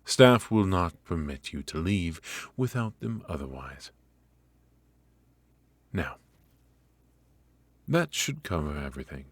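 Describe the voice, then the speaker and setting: A man performs a stern royal mage for a fantasy ASMR roleplay, with an extremely low, husky voice. He is aloof and commanding, speaking in slow, formal phrases. The sound is dry and close. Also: very animated, no room sound, full character voice. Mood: formal, instructive. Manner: formal, imperious, measured.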